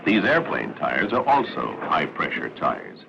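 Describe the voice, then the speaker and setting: A man giving formal, authoritative, measured narration for a 1960s military training film. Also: a bass voice, wildly swooping pitch, gravelly, background noise. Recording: muffled 1960s film soundtrack.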